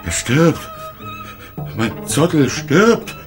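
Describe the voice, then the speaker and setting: A man's voice: a little high, very animated, extremely slow, heavily acted. A man playing a fretful, scolding guardian of household things in a children's radio play, exclaiming in alarm. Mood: panicked. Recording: music behind the voice. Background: music.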